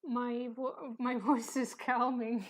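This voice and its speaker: Soft sleepy-voiced woman, slow and halting. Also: on the high side, little pitch movement. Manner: hesitant, halting, with small laughs. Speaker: streamer drawing live. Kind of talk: casual chat while sketching.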